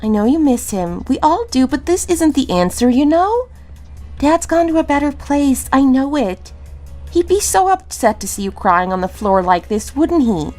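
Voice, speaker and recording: A woman plays a worn-out grown daughter in an audio drama, with a high voice. She sounds fretful, pleading and exasperated over faint music. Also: lively intonation, normal speed, a little mellow. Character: exhausted, exasperated grown daughter and young mother. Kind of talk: dramatic dialogue.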